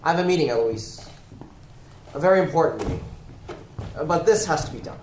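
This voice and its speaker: Shady, coaxing male crime boss played by a man, stage play, animated delivery, echoey room with noise behind. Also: on the high side, a little fast, close to an everyday voice. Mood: businesslike, secretive. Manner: coaxing, evasive, rhetorical. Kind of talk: stage acting in a one-act play.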